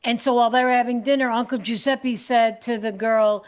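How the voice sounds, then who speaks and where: A woman hosting a comedy podcast, chatting casually, animated and quick to laugh and exclaim. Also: fairly high pitch. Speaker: podcast host.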